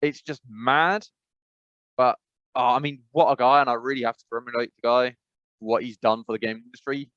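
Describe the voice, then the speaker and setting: A man's voice: medium pitch, steady tone, fairly quick, a bit bright, no echo, muffled recording. A man with an English accent co-hosting a gaming podcast over a video call, talking casually with many restarts and fillers. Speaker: podcast co-host. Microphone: remote call mic.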